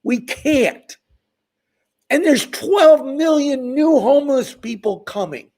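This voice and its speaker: A man's voice: very high-pitched, lively intonation, slow pace, darkish tone. A man who runs an advocacy channel, speaking straight to his viewers in an impassioned, ranting way. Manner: emphatic, ranting, addresses viewers directly. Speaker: homeless advocate, channel host. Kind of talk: impassioned direct-to-camera talk.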